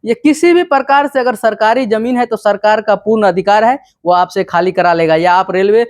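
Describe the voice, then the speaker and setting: Casting: Bihari-accented news explainer, medium pitch, dry recording, instructive and informal, talks straight to the listener. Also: normal speed, dry recording. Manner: didactic, repeatedly addressing the listener. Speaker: presenter explaining government news. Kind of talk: informal news explainer, reading out clippings and commenting.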